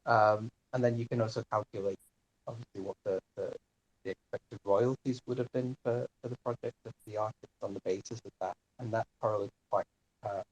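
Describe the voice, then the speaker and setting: Low, husky man explaining hesitantly. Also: fairly even intonation, brisk, no room sound, dull audio. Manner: polite, hesitant, hedging. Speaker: participant presenting his own research. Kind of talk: informal spoken report. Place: live online audio chat room.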